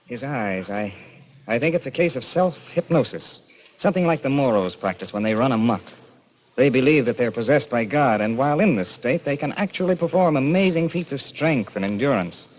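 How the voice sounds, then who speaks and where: A calm, learned doctor acted by a man from an old radio mystery. His mid-pitched voice is deliberate and explanatory, over faint recording noise. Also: natural voice. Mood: measured, grave. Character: calm, measured, learned male doctor, cautious. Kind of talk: 1940s radio drama acting. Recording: noisy vintage 1940s radio recording.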